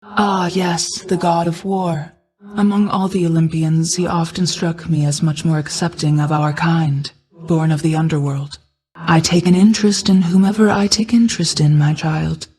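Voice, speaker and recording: A woman voicing a regal, ancient night goddess and guarded mother in a video game, speaking in a formal, measured way. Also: fairly low pitch, fairly even intonation, darkish tone, close to an everyday voice, poor audio. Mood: measured, reserved. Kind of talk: scripted game character dialogue.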